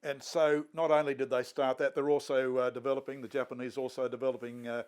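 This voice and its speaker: A male host interviewing panel guests, prompting them with lively questions. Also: mid-range voice, fast talker, clean tone.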